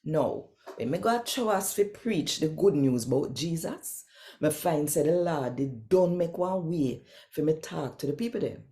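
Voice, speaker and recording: A woman with a Jamaican accent, reading scripture and addressing viewers in an expressive, conversational and emphatic way. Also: low-pitched, unhurried.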